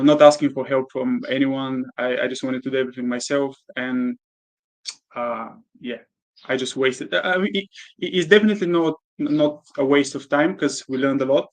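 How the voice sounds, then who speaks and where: A man from Bulgaria answering interview questions candidly, with frequent pauses and fillers. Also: medium pitch, poor audio. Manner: candid, hesitant with many "uh"s. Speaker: guest, e-commerce entrepreneur.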